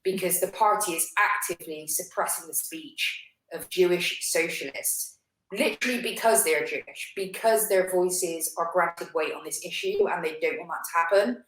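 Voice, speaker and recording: In a roomy, echoing recording, a woman with a slightly low voice speaks as an interview guest. She talks with passion about politics, in a conversational but serious way. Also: normal speed, fairly bright tone. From United Kingdom. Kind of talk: impassioned political discussion. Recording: roomy, echoey sound.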